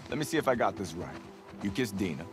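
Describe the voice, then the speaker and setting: Game role: young male friend and survivor, low voice, laid-back, wry deadpan teaser. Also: fast. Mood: wry, casual. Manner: relaxed, wry, deadpan teasing. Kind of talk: naturalistic video game acting.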